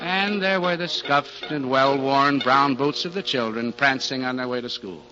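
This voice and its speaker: A man narrating a 1940s radio drama in a formal, measured storytelling manner. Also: mid-range voice. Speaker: narrator and announcer. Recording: dull old radio recording. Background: music.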